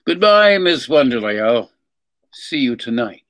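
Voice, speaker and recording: A man's voice: medium pitch, sing-song, unhurried, a bit bright. An actor playing an eager, smitten male detective partner in an old-time radio drama, bumbling and ingratiating.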